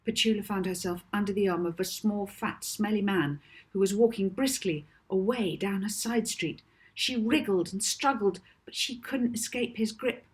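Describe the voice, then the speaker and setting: A woman author reading her children's novel aloud as expressive storybook narration, with deliberate phrasing and dramatic emphasis. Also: mid-range voice.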